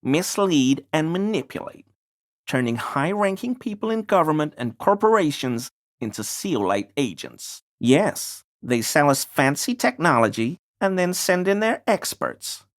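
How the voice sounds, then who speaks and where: A man's voice: fairly high pitch, sing-song, unhurried, no echo, studio quality. An actor playing a paranoid, furtive deliveryman in a video game, conspiratorial and evasive, deferential yet smug. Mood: conspiratorial, earnest. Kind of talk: video game character dialogue.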